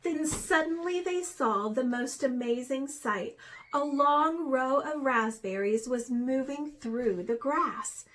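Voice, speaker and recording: A woman, a teacher, reading a children's storybook aloud in an expressive way. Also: high-pitched, slow pace, poor audio.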